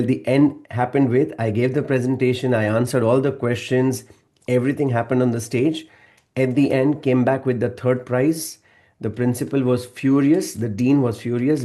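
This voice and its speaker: A man from India with a mellow, darker voice at middle pitch. He gives a short, earnest speech on public speaking and hammers home his points by repeating them. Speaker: guest speaker.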